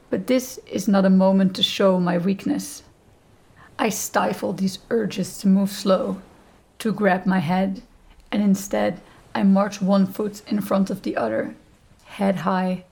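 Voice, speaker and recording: A woman reading a first-person novel as an audiobook narrator, with measured, deliberate phrasing. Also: mid-range voice, darkish tone.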